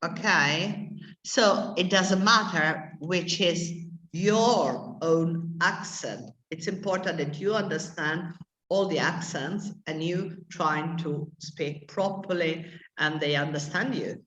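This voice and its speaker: A woman's voice: on the low side, takes it slowly, a bit bright, reverberant, low-quality recording. A woman teaching English to adult learners, stopping often to search for words mid-sentence. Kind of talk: classroom explanation to adult learners.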